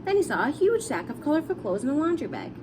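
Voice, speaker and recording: A woman's voice: high-pitched, big pitch swings, fast talker, noisy background. A woman reading a storybook aloud expressively for young children, in simple explanatory fashion. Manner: explains words simply as she reads. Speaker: storybook reader.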